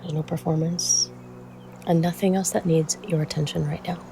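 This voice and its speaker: A meditation guide speaking in a soothing, measured way with frequent pauses and a soft, at times breathy voice. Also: medium pitch, level tone, slight background noise.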